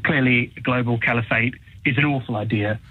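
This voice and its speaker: South African male caller, hesitant, husky voice over a tinny phone line. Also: medium pitch, steady tone, fairly quick. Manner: thoughtful, hesitant, searching for words. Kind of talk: phone-in conversation. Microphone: telephone.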